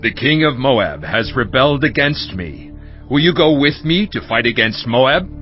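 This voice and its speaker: A man's voice: mid-range voice, sing-song, a little slow, muffled recording, soft background music. An actor playing a troubled, indignant ancient king, speaking emphatically in a dramatized scripture audiobook. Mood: urgent.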